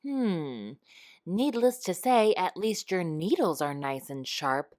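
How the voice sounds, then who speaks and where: A woman's voice: on the low side, big pitch swings, slow pace. A woman voicing a cheerful, kindly male porcupine doctor in a storybook read-aloud. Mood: concerned. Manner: cheerful, gentle.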